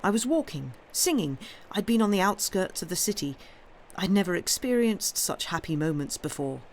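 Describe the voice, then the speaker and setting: A reader voicing a lonely, timid young man in an audiobook, impulsive and effusive, with a halting, pleading delivery. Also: high voice, big pitch swings, slight background noise. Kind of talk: dramatised audiobook reading.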